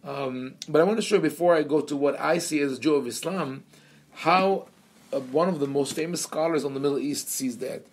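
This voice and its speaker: A man born in Israel, a rabbi lecturing a seminar class, with many pauses, fillers and restarts. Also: on the high side, slight background noise.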